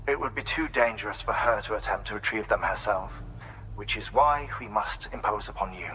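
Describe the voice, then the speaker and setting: An actor playing a courteous, formal male scientist in a video game cutscene, speaking in a polite, measured way. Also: lowish voice, pushed and bright, slight background noise, rough recording.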